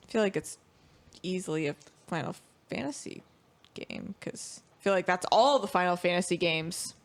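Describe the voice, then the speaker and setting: Lively, low-voiced woman with creaky edge. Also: normal speed. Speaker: podcast panelist. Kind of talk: casual panel chat.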